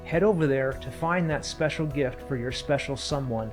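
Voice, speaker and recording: A man's voice: medium pitch, normal speed, music in the background. A man hosting an interview podcast, delivering intros and questions to his guest. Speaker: podcast host.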